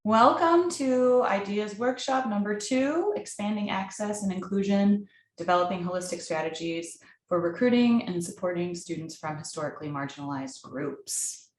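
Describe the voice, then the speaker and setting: A woman's voice: medium pitch, normal speed. Woman hosting a workshop, roomy sound. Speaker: workshop host. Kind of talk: presenting an online workshop.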